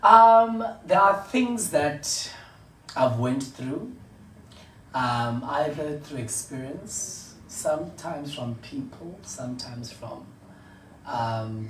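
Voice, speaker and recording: A South African woman speaking as a podcast guest, reflective and unhurried, laughing between thoughts. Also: a bass voice, a little hiss or hum. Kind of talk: casual panel chat. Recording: distant mic in an echoey room.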